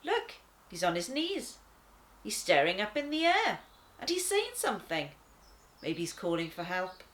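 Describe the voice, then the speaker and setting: A woman reading a children's story as a laid-back talking lion, conversational and wry, with dramatic pauses. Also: medium pitch, huge pitch swings, fairly bright tone. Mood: reasoning. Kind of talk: first-person children's storybook narration.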